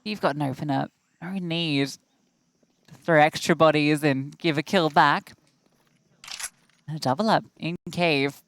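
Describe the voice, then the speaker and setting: A woman's voice: deep voice, measured pace, pauses often, dry recording. A woman giving chatty, analytical color commentary on a live esports match. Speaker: esports caster.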